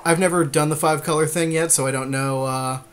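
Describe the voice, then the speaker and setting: A man's voice: on the high side, fairly even intonation, fairly quick, fairly bright tone, a little hiss or hum. A man co-hosting a podcast, giving casual card game commentary in an agreeable, colloquial, chatty way. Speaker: podcast co-host.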